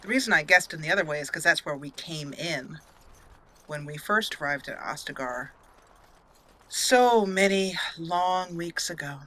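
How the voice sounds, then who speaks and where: A woman's voice: low voice, animated, unhurried, sharp tone, poor audio. A woman giving casual, chatty roleplay commentary on a game, slipping into emotive, story-like narration. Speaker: let's play host and gamer.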